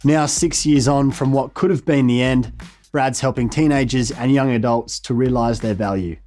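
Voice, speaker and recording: A man's voice: mid-range voice, steady tone, moderate pace, a little mellow. Earnest Australian man hosting a podcast. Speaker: podcast host and journalist. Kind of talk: scripted intro then interview questions.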